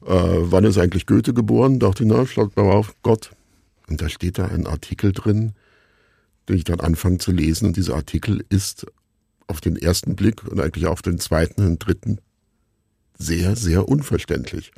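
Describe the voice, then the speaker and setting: Deep, gravelly middle-aged man, musing. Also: warm tone, dry recording, studio quality. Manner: musing, halting, self-deprecating. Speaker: interview guest, radio drama maker. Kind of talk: reflective conversational storytelling in an interview.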